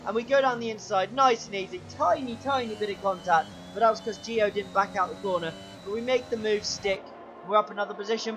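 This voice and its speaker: A man commentating his own racing game run, excitable play-by-play with a sore, strained throat. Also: a little hiss or hum. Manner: excitable play-by-play, pitch jumps when overtaking. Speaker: gaming channel host.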